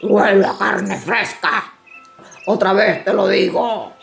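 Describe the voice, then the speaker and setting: A woman voicing a cruel, hungry male ogre in a storybook, with a gravelly, threatening, drawn-out delivery. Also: medium pitch, fairly even intonation, soft background music. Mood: suspicious, gruff.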